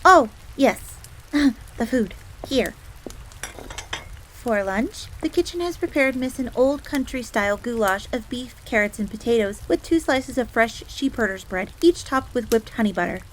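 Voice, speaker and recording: Casting: actress for jealous, flustered fox servant girl, roleplay audio drama. Higher female voice, prim formal phrasing, faint rain noise behind. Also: expressive, measured pace, rough recording. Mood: haughty, reluctant. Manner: formal, refers to herself in third person. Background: rain on a surface.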